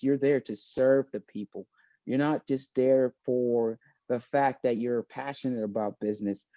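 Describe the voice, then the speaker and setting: A young man born in South Sudan and raised in Maine, speaking as an interview guest in a thoughtful, halting way, with many fillers and restarts. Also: medium pitch, dark tone, no echo, dull audio. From born in South Sudan, raised in Maine, USA. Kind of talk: casual video-call interview.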